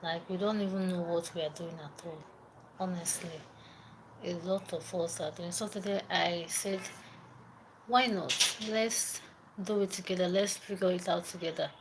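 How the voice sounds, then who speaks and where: A woman's voice: on the low side, background noise. Chatty young Nigerian woman livestreaming. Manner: chatty, repeats herself, greets viewers by name. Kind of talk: casual live-stream chat.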